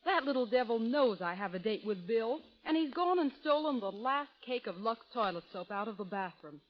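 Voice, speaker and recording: An American actress playing a perky young secretary in a 1930s radio commercial. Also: female voice, fairly high pitch, lively intonation, moderate pace, lightly acted. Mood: indignant. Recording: muffled, noisy old radio recording.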